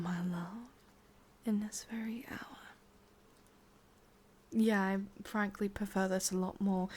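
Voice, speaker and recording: A sadistic yandere witch with an English accent, played by a woman in an intimate ASMR roleplay. Her voice is very breathy and mostly whispered, and she speaks slowly and haltingly. She is teasing and possessive, with a secretly tender streak. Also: mid-range voice, darkish tone. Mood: incantatory, slow.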